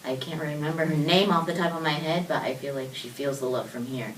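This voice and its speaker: A woman's voice: sits low, fairly even intonation, fairly quick, far from the mic. A woman in her twenties, a guest on a talk show, answering in a casual, laughing, talkative way. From born in South Korea, raised in the United States. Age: 20s. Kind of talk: interview answers. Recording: roomy echo, voice from off mic, faint noise.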